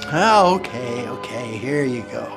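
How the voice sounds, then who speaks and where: A slow-talking comic grouch. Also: male voice, medium pitch, lively intonation, gravelly, music in the background. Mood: sheepish, glum. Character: grumbling, long-suffering schemer. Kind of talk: comic radio drama acting.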